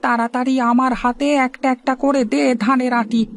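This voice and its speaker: A woman's voice: high-pitched, flat delivery, fairly quick. A woman voicing a fretful, hard-working male village farmer in a cartoon, plaintive and anxiously repeating phrases. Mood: hurried. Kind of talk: cartoon moral-story dialogue.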